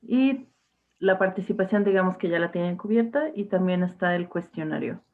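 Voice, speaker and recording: A woman's voice: fairly low pitch, normal speed. A young woman teacher, talking to her class casually, with fillers and restarts over a video call. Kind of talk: casual online class talk. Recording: tinny phone-like line.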